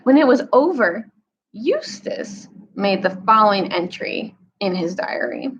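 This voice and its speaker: A woman reading a children's novel aloud at storytime, pausing now and then to explain a word. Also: mid-range voice, dull audio. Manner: expressive reading with brief asides explaining words.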